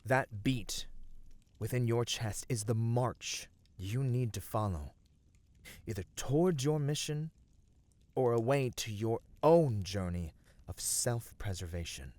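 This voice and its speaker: A man playing a gentle, caring companion of a fantasy hero in a scripted ASMR roleplay, speaking soothingly and earnestly in formal phrasing. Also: mid-range voice, lively intonation, slow, no echo.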